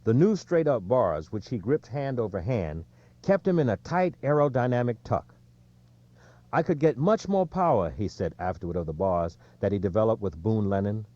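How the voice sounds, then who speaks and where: A man reading a nonfiction sports audiobook in a measured storytelling way, with emphasis on the quotes. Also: on the low side, warm tone, lo-fi sound. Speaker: audiobook narrator. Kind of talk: scripted nonfiction narration of a sports book.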